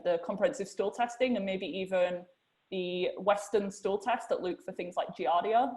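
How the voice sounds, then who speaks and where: A woman's voice: medium pitch, a little mellow, poor audio. A young woman hosting a podcast interview, chatty and using "like" a lot as she asks questions. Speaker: host.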